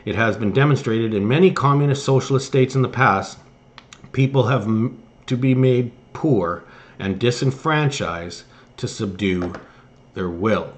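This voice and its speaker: A man's voice: medium pitch, a little slow. A man hosting political commentary, reading articles aloud and stumbling now and then over the text. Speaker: host of a political commentary show.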